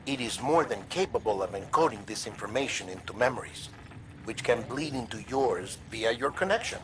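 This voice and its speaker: A man plays a talkative medical expert in a game cutscene. He explains in a mid-pitched voice with casual wryness and some evasiveness, over faint background noise. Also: lively intonation, moderate pace, a bit bright, close to an everyday voice. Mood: explanatory, slightly defensive. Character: chatty, evasive male medical expert briefing a colleague. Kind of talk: conversational video game cutscene acting.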